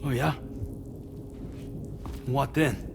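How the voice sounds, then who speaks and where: An actor playing a distrustful, terse courier hero who challenges a schemer in a dramatic video game cutscene. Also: male voice, mid-range voice, steady tone, very slow, creaky, background noise. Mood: suspicious, defiant.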